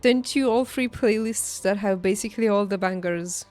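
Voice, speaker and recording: A woman's voice: medium pitch, normal speed, a little mellow, faint music behind. A woman livestreaming a game, chatting casually with her viewers in a playful, teasing way. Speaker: video game streamer.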